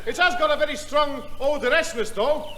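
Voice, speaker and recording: A man's voice: extremely high pitch, a little fast, a loud crowd. A man playing a pompous neighbour who mangles long words in a 1960s radio comedy. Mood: genial. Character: bumbling middle-aged male neighbour, muddles big words. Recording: hissy radio recording, loud studio audience.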